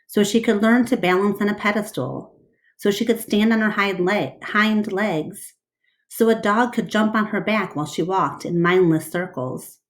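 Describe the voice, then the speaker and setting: A woman voicing a gentle older female elephant, tired, hushed and motherly, in a storybook reading. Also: medium pitch, moderate pace, close to an everyday voice. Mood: weary sorrow.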